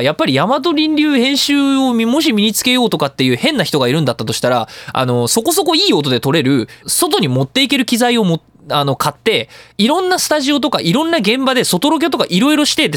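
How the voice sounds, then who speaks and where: A man's voice: extremely high pitch, wildly swooping pitch, fast, few pauses, fairly bright tone, dry recording, studio quality. A man hosting a radio talk show, explaining things in a chatty, opinionated, colloquial way. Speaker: radio show host and audio engineer. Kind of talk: casual talk radio monologue explaining sound editing.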